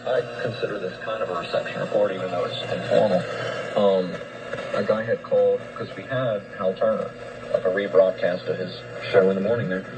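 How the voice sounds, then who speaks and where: A man's voice: sits low, steady tone, fairly quick. A man co-hosting a radio show, chatting informally and a little hesitantly. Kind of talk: casual radio chat. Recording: noisy shortwave radio broadcast.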